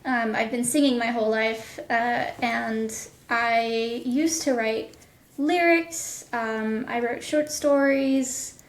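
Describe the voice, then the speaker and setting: A woman in her thirties answering casually as an interview guest, explaining things in a thoughtful, halting way. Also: on the high side, steady tone. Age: 30s. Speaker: guest, voice actor. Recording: echoey, roomy sound.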